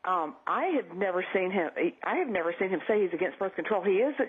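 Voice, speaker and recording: A woman's husky voice comes through a narrow, muffled phone line. She argues politics as a radio caller, pressing her challenge insistently. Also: fairly low pitch, expressive, fast talker. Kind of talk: political argument.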